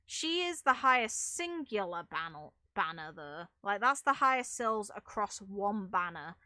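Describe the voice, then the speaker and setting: A woman's voice: mid-range voice, sing-song, moderate pace, bright, forceful tone, no echo, clean studio sound. A woman chatting casually on a livestream as a game streamer, giving her opinions in an emphatic, opinionated way. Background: music.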